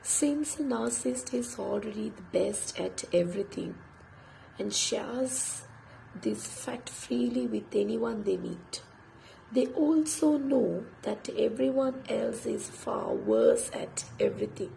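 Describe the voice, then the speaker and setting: A woman whose first language is Tamil, an English instructor with a sore throat and a nearly lost voice, talking late at night in a hushed, breathy half-whisper and reading aloud from a book. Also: a little high, slow pace, a little hiss or hum, rough recording.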